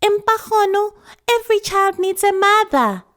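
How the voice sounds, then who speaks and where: A woman's voice: extremely high pitch, lively intonation, normal speed, fairly bright tone, voice pushed up. A woman voicing an eager, impatient young granddaughter who pleads and questions insistently in a story. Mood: pleading, curious. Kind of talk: storybook character voice.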